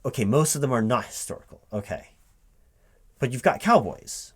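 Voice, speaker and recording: A man playing a recruiting manager in a one-sided spoken interview roleplay, halting and puzzled as he repeats things back as questions. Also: medium pitch, expressive, breathy edge.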